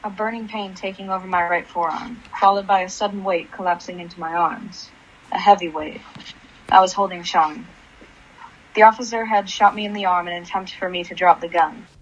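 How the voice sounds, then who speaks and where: A woman's voice: lowish voice, fairly even intonation, fairly quick, lo-fi sound, lightly acted. An actress playing a grief-crazed, vengeful mother in a radio play, intense and commanding. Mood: remorseful narration. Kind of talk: dramatic radio play acting with narration.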